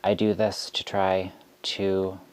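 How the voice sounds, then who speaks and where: A man who reads tarot, speaking straight to camera in a frustrated rant, deliberate and halting with frequent ums. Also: deep voice, monotone, smooth. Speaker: tarot reader.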